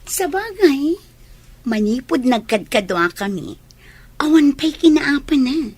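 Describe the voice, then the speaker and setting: A woman as a high, teasing mistress. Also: very animated, takes it slowly, no room sound, full character voice. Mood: flirty, mocking. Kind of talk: Ilocano audio drama acting.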